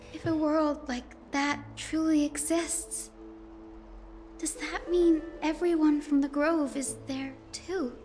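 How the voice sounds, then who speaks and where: A sorrowful, sweet young game heroine, pitched very high. She speaks slowly, with hesitant, pleading earnestness. Faint music sits behind her. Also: steady tone, a little mellow. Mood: grieving confusion.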